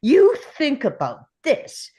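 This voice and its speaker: A woman's voice: sits high, wildly swooping pitch, takes it slowly, dark tone, full character voice. A woman reading an indignant, weary older male school teacher in a table read of a film script. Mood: worried, earnest.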